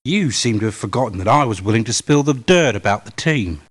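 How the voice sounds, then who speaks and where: An actor playing a jaded, money-minded mercenary in a video game, with a curt, sardonic way of talking. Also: male voice, medium pitch, animated, fairly quick, husky. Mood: dry. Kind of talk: video game character voice lines.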